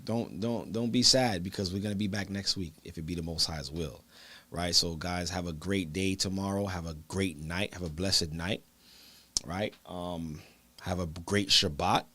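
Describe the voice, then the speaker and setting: A man's voice: low-pitched, normal speed, sharp tone, no echo. A man hosting a church livestream, talking loosely and casually to his listeners as brothers and sisters. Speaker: church broadcast host.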